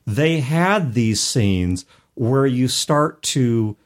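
A man's voice: medium pitch, expressive, drawn-out words. An American man co-hosting a discussion podcast, explaining his points in long, carefully qualified sentences. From United States. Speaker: podcast co-host. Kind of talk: conversational discussion of role-playing games.

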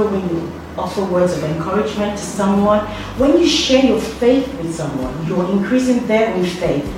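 A woman from Nigeria, a church ministry team leader, talks earnestly and encouragingly. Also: mid-range voice. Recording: echoey room from a distance, noisy.

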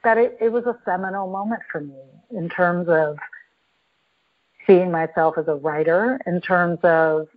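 A woman guest on a podcast telling a personal story in a reflective, halting way, with many restarts. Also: fairly low pitch. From New York. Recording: tinny phone-line audio.

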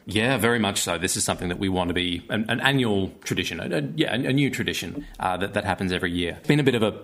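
Low-voiced Australian man, thoughtful and halting. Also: a bit bright. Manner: hesitant, searching for words, earnest. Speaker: guest, theatre maker and actor. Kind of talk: conversational interview.

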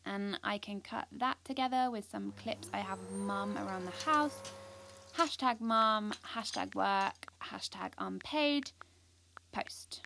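A woman's voice: medium pitch, slow pace, creaky, noisy background. A young British woman playing an earnest, ambitious, anxious schoolgirl who confides her story in an audio drama. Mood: reflective. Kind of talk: first-person audio drama narration.

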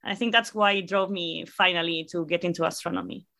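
A woman's voice: fairly low pitch, steady tone, quick pace. A woman from Chile in her thirties, a native Spanish speaker with a Chilean accent, telling her story as a guest in an interview. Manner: warm, reflective storytelling with fillers. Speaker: guest astrophysicist. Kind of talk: casual interview answers.

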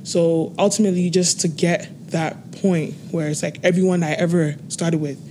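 A woman in her twenties, a guest on an interview, laughing often and speaking slowly and earnestly. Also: on the low side, steady tone, warm tone, a little hiss or hum. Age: 20s. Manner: laughs often, slow and earnest when talking goals. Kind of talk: casual interview chat.

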